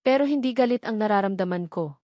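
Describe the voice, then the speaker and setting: A woman voicing a remorseful young man who speaks softly, almost in a whisper, in a storybook reading. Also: medium pitch, expressive, fast talker, no room sound, clean studio sound, close to an everyday voice. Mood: tender. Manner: soft, near-whispered.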